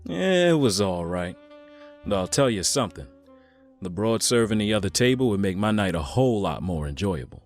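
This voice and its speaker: Man playing a crude, animated mobster. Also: fairly low pitch, normal speed, soft background music. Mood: brash. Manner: coarse, casual, mouthy. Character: crude, brash, lecherous mobster. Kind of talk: audio drama acting.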